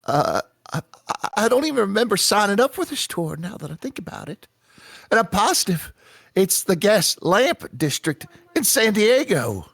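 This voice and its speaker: A man's voice: high-pitched, big pitch swings, creaky, a bit bright, no echo, heavily acted. A man playing a confused, indignant tourist who stammers hesitantly, in a script read-through. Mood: bewildered, indignant. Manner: halting, stammering.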